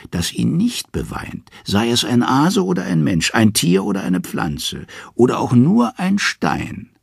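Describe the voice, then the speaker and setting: Man as gravelly, haughty queen of the dead. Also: on the low side, darkish tone, no echo, studio quality, exaggerated voice. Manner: imperious, gloating, menacing. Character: haughty, malicious queen of the underworld.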